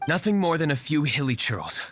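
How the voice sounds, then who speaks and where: A man's voice: fairly high pitch, fairly quick, slight background noise. A man voicing an aloof, stern young nobleman in a video game, speaking formally and curtly with dry wit. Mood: brisk, serious. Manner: curt, deadpan, formal.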